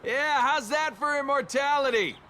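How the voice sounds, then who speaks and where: An actor playing a cocky, wisecracking young adventurer in a video game, with flippant, joking delivery. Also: male voice, extremely high pitch, normal speed, bright, forceful tone. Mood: mocking, excited.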